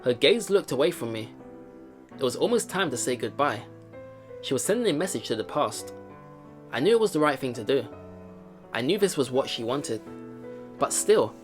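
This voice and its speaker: A man reading a visual novel aloud as a let's play host, with expressive dramatic narration and his own commentary. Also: mid-range voice, normal speed, long pauses. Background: game music.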